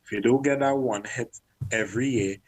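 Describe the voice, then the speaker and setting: A man working as a music manager, chatting candidly in an interview and often clearing his throat. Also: mid-range voice, a little fast, rough recording. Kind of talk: casual interview talk.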